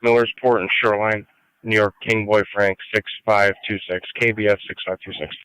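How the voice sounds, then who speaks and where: A man's voice: on the low side, level tone, normal speed, sharp tone, clear, resonant tone, no echo, poor audio. An American man calling dispatch from the field in terse two-way radio traffic. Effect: two-way radio transmission.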